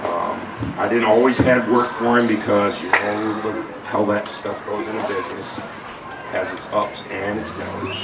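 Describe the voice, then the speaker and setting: Loud-voiced middle-aged man, blunt and rambling memorial speaker; distant mic, roomy echo. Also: lowish voice, vocal fry. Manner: rambling, blunt, swears freely. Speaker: eulogy speaker. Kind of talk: unscripted memorial tribute. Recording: echoey room, mic far off, loud noise.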